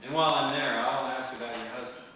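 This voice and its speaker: An actor playing a wry, smooth-talking hardboiled private detective in the manner of an old radio serial. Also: male voice, mid-range voice, fairly even intonation, fast, sharp tone, close to an everyday voice. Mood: wry, businesslike. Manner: wry, flirtatious, world-weary patter. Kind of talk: 1940s detective radio serial acting, noir narration. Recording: roomy distant hall recording, faint noise.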